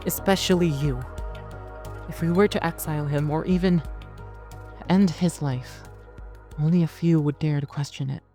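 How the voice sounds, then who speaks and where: An actress playing a determined woman, a chief's daughter, speaking in an earnest, measured way over music. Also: deep voice, steady tone, warm tone, lightly acted. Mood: resolute. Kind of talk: audio drama acting.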